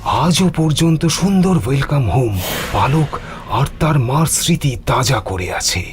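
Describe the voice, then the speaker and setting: A man's voice: mid-range voice, lively intonation, unhurried, noisy background. A man narrating a scripted horror story in a dramatic, suspenseful manner. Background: music.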